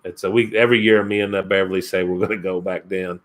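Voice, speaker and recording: A man's voice: low voice, level tone, fast talker. Easygoing Kentucky man chatting on a live stream. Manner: rambling, chuckles often, answers chat comments. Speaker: outdoors channel host. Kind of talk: casual live stream chat with viewers.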